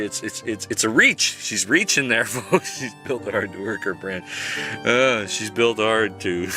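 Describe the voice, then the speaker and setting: A man's voice: lowish voice, bright, forceful tone. A man from Canada giving a sarcastic, chuckling commentary monologue as a channel host. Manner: sarcastic, chuckling, mock-polite.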